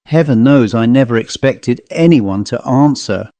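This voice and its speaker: A man's voice: mid-range voice, animated, unhurried, mellow, no room sound. A man voicing a plain-spoken travelling salesman telling a grim storybook tale in a dry, colloquial, conversational manner. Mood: uneasy, confiding. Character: haunted male commercial traveller, plain-spoken teller of grim tales. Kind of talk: dramatised storybook monologue.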